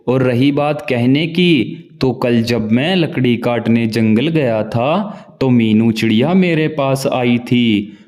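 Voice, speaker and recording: A man voicing a grumbling, hardworking male crow husband in a cartoon, with a gruff, scolding manner. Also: medium pitch, a little mellow, close to an everyday voice. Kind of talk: cartoon voice acting.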